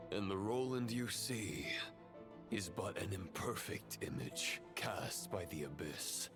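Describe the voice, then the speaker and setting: A solemn phantom of a fallen knight in a video game. The voice is on the low side and very unhurried, with cold conviction and archaic, formal phrasing. Dramatic music plays clearly behind it. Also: male voice.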